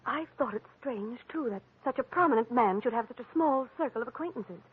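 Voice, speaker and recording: Troubled young wife, 1940s radio drama, genteel, hesitant, slightly husky, very narrow tinny recording. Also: female voice, a little high, lively intonation, fairly quick. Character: troubled, cultivated young wife seeking a detective's help.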